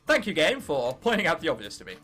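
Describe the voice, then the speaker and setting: Young male gamer, English accent, animated swooping delivery, jokey and sweary, high voice, music behind. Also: quick pace. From England. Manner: jokey, sweary, self-interrupting. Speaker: gaming video host. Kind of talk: casual let's play commentary, reading game text aloud.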